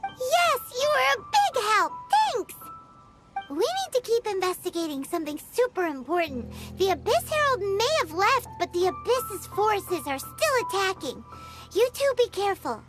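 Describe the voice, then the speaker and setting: An actress voicing a tiny, excitable female companion who talks about herself in the third person, in a video game cutscene. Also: normal speed. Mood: chatty. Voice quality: high squeaky childlike voice. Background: game music.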